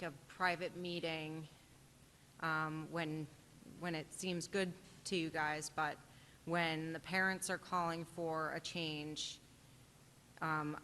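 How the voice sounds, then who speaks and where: Flat-toned woman questioning a board. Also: sits low. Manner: earnest, hesitant with fillers, pointed. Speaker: parent giving public comment. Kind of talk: public comment at a board meeting. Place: town meeting room. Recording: reverberant meeting room with faint noise.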